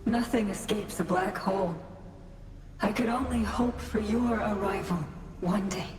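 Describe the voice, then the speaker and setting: A woman's voice: mid-range voice, measured pace, close to an everyday voice. Game role: actress as trapped, sorrowful shadow woman, earnest and solemn, echoing voice over prominent music. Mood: reflective. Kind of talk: video game voice acting. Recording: roomy echo, music behind.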